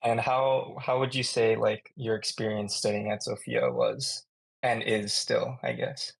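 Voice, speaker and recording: A man's voice: medium pitch, measured pace, gravelly, husky, poor audio. A young man co-hosting a podcast, asking questions in a hesitant, stop-start way. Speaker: podcast co-host. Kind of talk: casual interviewing.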